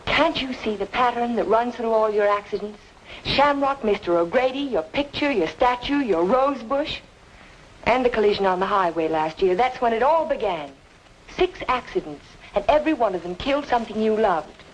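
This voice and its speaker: An actress playing an earnest, insistent woman in an old film noir drama, speaking emphatically and deliberately. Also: fairly high pitch, lively intonation, lightly acted. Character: earnest, insistent woman reasoning with a troubled man. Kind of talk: mid-century film noir drama acting. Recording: noisy old film soundtrack, hissy.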